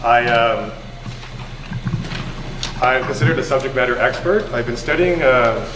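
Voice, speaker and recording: A man presenting as a subject matter expert, speaking loudly and informally without using the microphone. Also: mid-range voice, measured pace. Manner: loud, informal speaker who skips the microphone. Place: community recreation hall. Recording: distant, echoey hall recording with background hum.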